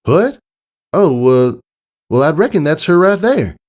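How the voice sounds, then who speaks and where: A man's voice: fairly high pitch, big pitch swings, unhurried, a little mellow, pitched above the performer's own voice. A man voicing a hearty, plain-spoken farm mare with a folksy American Southern drawl, as in a storybook reading. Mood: friendly surprise. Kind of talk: storybook character voice.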